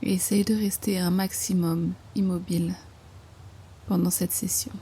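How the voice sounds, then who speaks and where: A woman guiding a yoga nidra relaxation in slow instructions, with hushed, breathy phrase endings and an unhurried manner. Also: on the low side, flat delivery. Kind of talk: guided meditation, slow soothing instruction.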